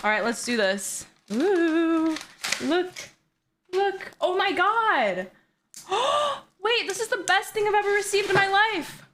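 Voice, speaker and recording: A woman in her twenties chatting excitedly and bubbly on a livestream from her bedroom. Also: extremely high pitch, slow, slight background noise. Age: 20s. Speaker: streamer. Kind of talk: casual livestream chat.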